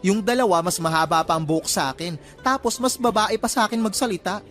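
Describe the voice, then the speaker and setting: A man's voice: high voice, quick pace, a bit bright, soft background music. A man playing a flamboyant, sassy college theater student in a radio drama, with a campy, playful, swooping delivery. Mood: boastful, sassy. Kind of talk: Filipino radio drama acting.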